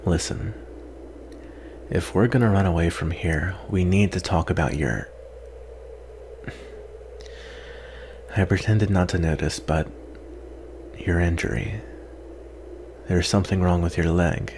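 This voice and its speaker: Low, slow-spoken guarded male vampire, acted by a man. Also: gravelly, a little mellow, a little hiss or hum. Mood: resigned, serious. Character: guarded male vampire, weary, wry, secretly tender. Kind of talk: ASMR roleplay, intimate scripted acting.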